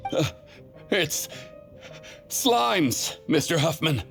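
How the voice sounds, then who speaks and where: An actor playing a panicky, deferential junior knight in a video game, urgent and anxious. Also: male voice, on the high side, big pitch swings, extremely slow, creaky, fairly bright tone, faint music behind, heavily acted. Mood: panicked.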